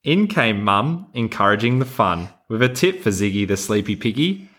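A man's voice: fairly low pitch, sing-song, moderate pace, a bit bright. An Australian man who hosts a podcast, talking casually and reading a children's storybook in a playful, encouraging way. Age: adult. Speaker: podcast host.

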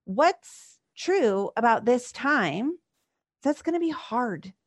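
Brief: woman life coach, higher pitch, probing and reflective, chatty with "like" fillers. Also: expressive, slow. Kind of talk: live coaching session.

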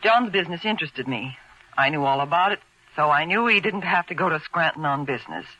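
An unsentimental widow in an old radio noir. Her voice has a very bright, tense edge, and she speaks in guarded, precise, measured phrases. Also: low voice, expressive, full character voice, low-quality recording. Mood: tense, earnest. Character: cool, composed, unsentimental widowed housewife. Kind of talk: 1940s radio noir acting. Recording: old radio drama recording.

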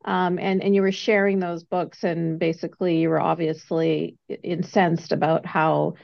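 A woman's voice: fairly low pitch, level tone, normal speed, creaky. A woman hosting a podcast interview, chatty and curious, asking long winding questions. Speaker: podcast host. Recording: dull lo-fi audio.

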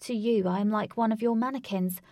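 A woman voicing a defiant young woman who confronts her stalker in a raised, forceful voice. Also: brisk, no echo, studio quality, lightly acted. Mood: insistent. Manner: forceful, pleading, high.

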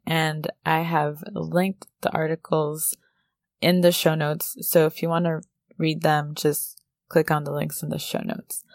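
A woman with a standard American accent hosting a podcast, explaining a topic in a measured, scripted way. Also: sits low, creaky, dry recording, studio quality. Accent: General American. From United States. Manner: measured, explanatory, reads from prepared notes. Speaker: podcast host.

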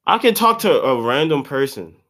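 A man's voice: fairly high pitch, big pitch swings, moderate pace, sharp tone. A man of about twenty, congested, rambling in a slangy, profane solo podcast monologue. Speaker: podcast host.

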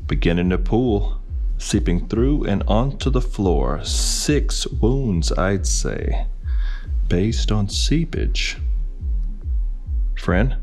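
A low-voiced, cynical hard-boiled detective. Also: male voice, very animated, very slow, a little mellow, faint music behind, heavily acted. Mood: sardonic. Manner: sardonic, gruff, blunt. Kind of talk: hard-boiled noir parody audio drama acting.

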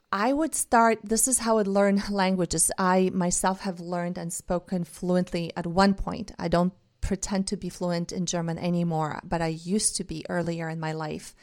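A woman's voice: on the low side, darkish tone. Earnest woman. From Bulgaria. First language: Bulgarian. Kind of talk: reflective monologue.

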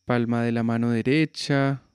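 A man guiding a yoga nidra relaxation with slow, calm, soothing instructions. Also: mid-range voice, smooth, no room sound, clean studio sound. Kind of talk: guided relaxation meditation.

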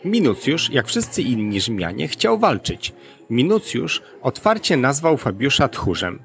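A man's voice: medium pitch, very animated, a little slow, vocal fry, fairly bright tone. A man hosting an educational podcast, reading scripted narration.

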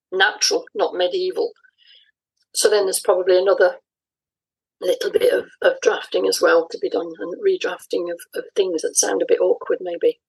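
A woman's voice: medium pitch, vocal fry, breathy edge, rough recording. A woman in her sixties speaking as an interview guest, reflecting and explaining thoughtfully in a conversational way. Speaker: interview guest, audio drama writer.

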